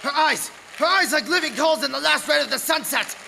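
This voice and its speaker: A man's voice: extremely high pitch, animated, brisk, creaky, bright, forceful tone, a little hiss or hum, exaggerated voice, rough recording. A man playing a haunted young husband in a radio play, recounting horror with dramatic intensity. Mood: horrified. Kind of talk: radio play narration in character.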